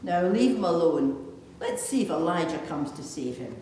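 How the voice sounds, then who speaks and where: A woman's voice: lowish voice, expressive, moderate pace, dark tone, natural voice. A woman reading the parts of mocking, accusing priests and crowd in a dramatized church scripture reading. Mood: scornful. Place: large echoey church interior. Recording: distant, echoey church, faint noise.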